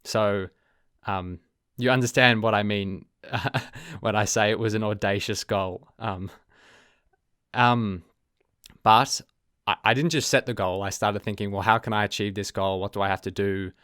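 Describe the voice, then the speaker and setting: A young Australian man hosting his own podcast, talking casually and thoughtfully, often pausing with ums and correcting himself as he goes. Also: fairly low pitch, steady tone, fairly bright tone, clear, resonant tone, dry recording, studio quality. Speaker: podcast host. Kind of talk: casual solo podcast talk.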